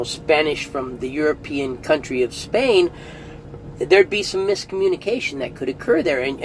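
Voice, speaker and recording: A man's voice: fairly high pitch, a little hiss or hum, poor audio. A middle-aged man giving a casual, earnest spoken review, chatty and often restarting phrases. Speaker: audiobook reviewer.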